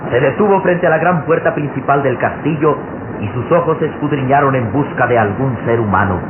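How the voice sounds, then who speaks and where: A man narrating an old radio serial in a dramatic, solemn, suspenseful style with weighty pauses. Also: mid-range voice. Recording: noisy narrow-band old radio serial recording.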